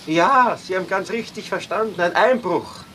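A man's voice: high-pitched, normal speed, bright, forceful tone, a little hiss or hum. An actor playing an urgent, secretive male informant on a telephone in a crime drama. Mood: urgent, tense.

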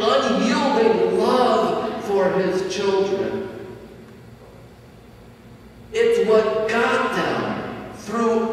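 Slow-preaching deacon in an echoey church. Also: male voice, high voice, distant mic, a little hiss or hum. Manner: slow, deliberate preaching with dramatic pauses. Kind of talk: sermon. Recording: echoey church heard from afar.

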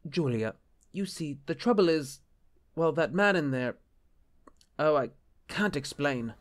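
An actor playing an anxious, exasperated bishop in an audio drama, formal and hesitant in manner. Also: male voice, on the high side, expressive, a little slow, no echo. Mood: harried. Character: anxious, exasperated, skeptical married male bishop. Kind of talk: radio-play style drama acting.

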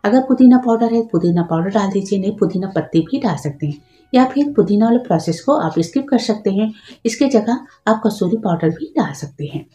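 A woman's voice: mid-range voice, expressive, fairly quick, warm tone, roomy sound, faint music behind. A woman host giving patient, step-by-step instructions in a cooking tutorial voice-over, adding practical tips as she goes.

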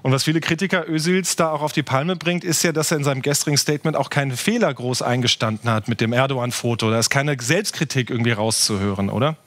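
A man anchoring a TV news show from a studio, asking brisk, probing interview questions. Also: medium pitch, fairly bright tone.

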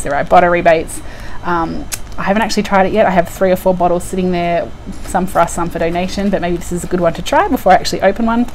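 A young woman with a hoarse, croaky voice from a cold, chatting casually to the camera at home with lots of ums. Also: on the low side, darkish tone, slight background noise, poor audio. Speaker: couponing vlogger. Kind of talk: casual unboxing vlog. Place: indoors at home by a window. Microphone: lapel mic.

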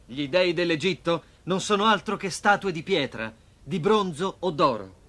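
Casting: devout young slave in animation, high male voice, earnest measured delivery. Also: lively intonation, a bit bright. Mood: devout, firm. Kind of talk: dubbed cartoon acting.

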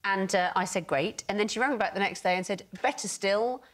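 A woman's voice: mid-range voice, a little fast. A middle-aged woman telling comic stories as a panel show guest in a television studio. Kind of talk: comic storytelling.

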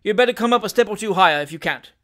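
Man acting a smug, high-voiced sly lawyer. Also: animated, racing, fairly bright tone. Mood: goading. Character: smug, sly male junior partner lawyer, goading.

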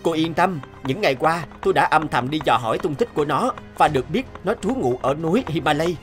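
A man voicing a brave, gentle young hunter in an animated fairy tale, earnest and protective. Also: a little high, brisk. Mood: caring, earnest. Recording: music behind the voice.

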